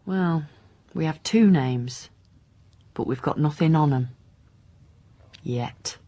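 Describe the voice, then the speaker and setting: Radio drama: weary female inspector, husky, dry delivery, lowish register. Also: slow, mellow, full character voice. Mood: weary musing. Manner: blunt, dry, questioning. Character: driven, weary, sardonic female police inspector. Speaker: actor.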